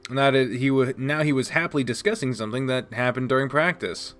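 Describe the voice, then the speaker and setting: A man reading a visual novel game aloud, casual, jumping to high squeaks when surprised. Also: brisk, a bit bright, soft background music. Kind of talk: reading game text aloud with jokes aside.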